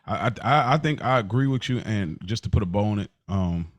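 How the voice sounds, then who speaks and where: Man, lowish voice, laid-back, agreeing murmurs, casual podcast chat, dry sound. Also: expressive, a little fast, no echo. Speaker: podcast co-host.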